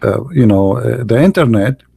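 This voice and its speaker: A man's voice: mid-range voice, expressive, moderate pace, gravelly, mellow, husky. A man with an Arabic accent, a native Arabic speaker, hosting a live stream and arguing heatedly in mocking, emphatic English with non-native grammar. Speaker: live-stream host debating callers. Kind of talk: heated religious debate talk.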